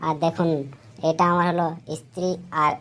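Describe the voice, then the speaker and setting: A woman's voice: fairly low pitch, little pitch movement, moderate pace, a bit bright, close to an everyday voice. Cartoon husband, woman performer: doting, gullible, fussy, colloquial, repeats himself. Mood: polite. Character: gullible doting husband, adult male office worker. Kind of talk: comic Bengali cartoon dialogue.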